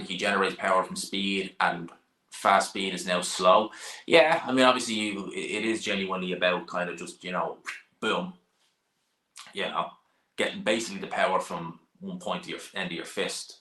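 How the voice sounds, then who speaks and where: A man's voice: sits low, pushed and bright, echoey room, rough recording. A young man from Dublin with an Irish accent, casually answering viewer questions about boxing on a live stream, rambling and repeating himself. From Ireland. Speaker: boxing channel host.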